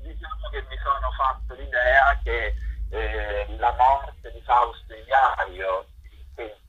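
A man, a playwright being interviewed, explaining thoughtfully and hesitantly, searching for words in a husky voice. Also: medium pitch, slow pace, pushed and bright. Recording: dull lo-fi radio interview line.

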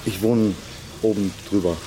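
Ein Schauspieler spielt in einem Krimi-Hörspiel einen unbeholfenen, zögerlich ausweichenden Mann. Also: male voice, lowish voice, steady tone, normal speed, mellow, slight background noise, natural voice. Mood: awkward, upbeat. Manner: hesitant, awkward, evasive. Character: awkward, evasive man snooping on a date. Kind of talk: radio crime drama dialogue.

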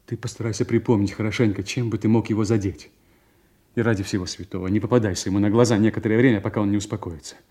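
A male actor plays a young, resentful schemer in a classical audio drama. His voice is rough-edged and slightly low. He speaks with brooding, insinuating theatricality, passing off malice as concern. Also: fairly even intonation, fast talker, mellow, natural voice. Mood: feigned concern. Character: Edmund, scheming resentful young bastard son, feigning brotherly concern. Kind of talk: theatrical radio drama acting.

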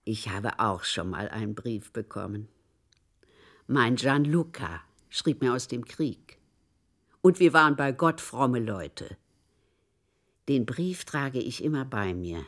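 An actress playing a devout, tender, grieving servant woman in a German radio play, moving between hushed and insistent delivery. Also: very deep voice, takes it slowly, long pauses, full character voice. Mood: proud, eager.

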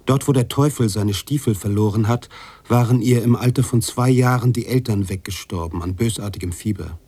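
An actor playing a weary, brooding writer who narrates a literary radio drama in a musing, halting, husky way. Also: male voice, lowish voice, dry recording. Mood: somber, narrating. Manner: musing, halting, drops into hushed tones. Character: weary, brooding bearded male writer narrating his story.

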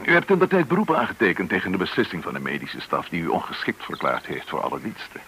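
An actor playing a courteous, evasive security service colonel, official in manner in a radio play. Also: male voice, low-pitched, wildly swooping pitch, quick pace, pushed and bright, full character voice. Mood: persuasive. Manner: smooth-talking, official, politely evasive. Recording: noisy old radio play recording.

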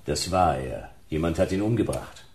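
An actor playing a hard-boiled, world-weary detective in a radio crime drama, laconic and sardonic. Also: male voice, low-pitched, animated, moderate pace, darkish tone, breathy edge, heavily acted. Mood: dry, probing.

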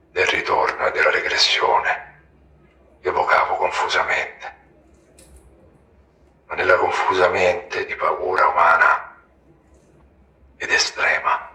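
A man's voice: low-pitched, sharp tone. A man reciting poetic prose in a literary reading style. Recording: roomy, echoing recording.

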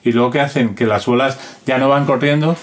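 A man's voice: mid-range voice, a little fast, faint music behind. A man in his fifties with an accent from Spain explains his craft casually and demonstratively, adding short tag questions. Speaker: instrument maker being interviewed. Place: instrument workshop. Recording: noisy on-location interview recording.

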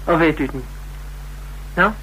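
An actor playing a polite, inquisitive interviewer in a radio drama, probing with courteous, lightly amused questions. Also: male voice, a little high, moderate pace, sharp tone, low-quality recording. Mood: curious, lightly amused. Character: polite, inquisitive male interviewer sizing up a recruit. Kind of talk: naturalistic Dutch radio drama acting. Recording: radio recording with background hiss and hum.

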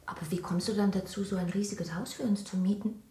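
An actress playing a well-born young newlywed wife in a German radio drama, questioning, firm and reproachful. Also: female voice, medium pitch, fairly even intonation, fast talker, dark tone, natural voice. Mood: baffled, indignant. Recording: echoey room with clear background noise.

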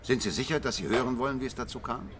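A man's voice: medium pitch, racing, fairly bright tone, slight background noise, natural voice. A man playing a blind music critic in a radio drama, self-mocking, theatrical and brooding in his confessional talk. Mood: sardonic, insistent. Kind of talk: radio drama monologue and dialogue.

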